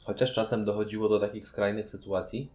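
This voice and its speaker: A man's voice: fairly low pitch, fairly even intonation, a little fast, darkish tone, roomy sound, soft background music. A man chatting casually as co-host of a board game review show. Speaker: podcast co-host.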